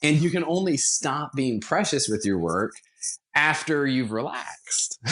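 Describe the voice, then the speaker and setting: A man's voice: medium pitch, lively intonation, takes it slowly, a bit bright. A man talking casually and enthusiastically as an interview guest, with emphatic turns of phrase. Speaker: podcast guest, theater founder.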